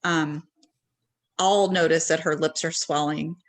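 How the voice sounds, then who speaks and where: Lower-voiced woman on a video call panel, casual, lots of ums, trails off softly. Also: fairly even intonation, measured pace. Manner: conversational, many ums and you-knows, trails off quietly. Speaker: panelist and parent.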